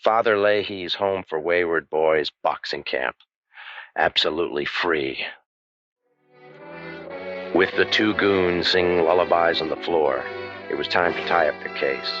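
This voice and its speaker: A man playing a wry, world-weary hard-boiled private detective in an old-time radio drama, with deadpan delivery. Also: deep voice, unhurried, pauses often. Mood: matter-of-fact. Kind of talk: old-time radio noir narration and acting. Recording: muffled audio with music underneath.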